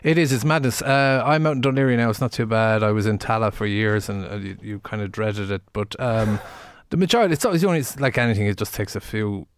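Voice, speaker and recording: Fast-talking Irish man in his forties. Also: on the low side, smooth, no echo. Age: 40s. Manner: rambling, self-interrupting storyteller. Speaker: guest comedian and firefighter. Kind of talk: casual radio chat. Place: radio studio.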